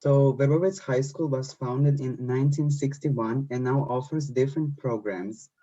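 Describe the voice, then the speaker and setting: A man's voice: medium pitch, steady tone, normal speed, mellow. A man with a Croatian accent giving a prepared presentation about a school. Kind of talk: scripted presentation. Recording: muffled lo-fi audio.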